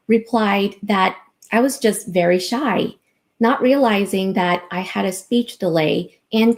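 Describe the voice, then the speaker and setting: A woman in her forties, a researcher and advocate, giving a measured, scripted personal talk. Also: medium pitch, mellow. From United States. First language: Vietnamese.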